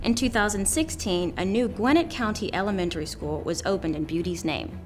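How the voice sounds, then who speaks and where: A woman's voice: medium pitch, brisk, noisy background. A woman reading a scripted tribute story aloud as a narrator. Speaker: reader of nomination stories. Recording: prominent music bed under the voice. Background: background music.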